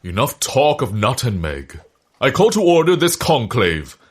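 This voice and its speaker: An actor playing a pompous, self-important eccentric scientist in a comedic audio drama, declaiming grandly in a husky voice. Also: male voice, medium pitch, very animated, unhurried. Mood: officious.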